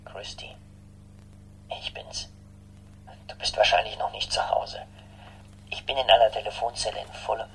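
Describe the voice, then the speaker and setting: An actor playing an earnest, lovesick boyfriend in a German radio drama, with a husky voice. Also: male voice, fairly low pitch, steady tone, normal speed, long pauses, fairly bright tone, slight background noise, low-quality recording. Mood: wistful, tender. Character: earnest lovesick man, a long-distance boyfriend.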